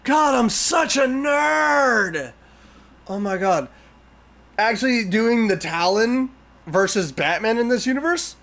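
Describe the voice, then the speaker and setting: A man giving excited, exclamatory reaction commentary as a video host, talking back to what he watches in a geeky way. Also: very high-pitched, slow, creaky.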